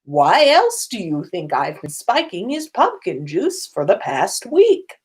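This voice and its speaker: A woman voicing a serenely self-assured old wizard headmaster in a storybook reading, measured and declamatory. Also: mid-range voice, wildly swooping pitch, darkish tone, slightly rough, full character voice. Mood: serene, defiant.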